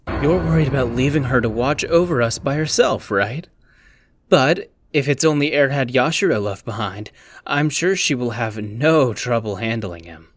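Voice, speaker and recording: A man's voice: mid-range voice, animated, normal speed, dry recording, natural voice. A man playing a sardonic, prying teenage boy in an anime dub, teasing and nonchalant. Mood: probing. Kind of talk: anime fan dub acting.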